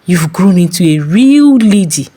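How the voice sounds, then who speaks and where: A woman's voice: fairly low pitch, lively intonation, a little slow, mellow, slightly rough. A woman voicing a fatherly older male pastor in a story, with a Nigerian accent and a coaxing, over-familiar manner. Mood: cheerful, coaxing. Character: Pastor Tochi, smooth fatherly older male pastor, quietly predatory. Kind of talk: acted dialogue in a narrated folk tale.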